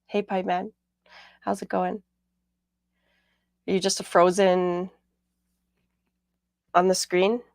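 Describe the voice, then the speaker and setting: A woman with a raspy voice hosting a casual radio talk show, rambling a little with fillers and repeated words. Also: lowish voice, flat delivery, takes it slowly, pauses often, a little mellow, dry recording.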